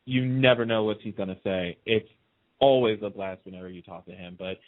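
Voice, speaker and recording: A man's voice: lowish voice, fairly quick. Casual male storyteller on lo-fi radio. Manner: emphatic repetition of phrases. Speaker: radio host being interviewed.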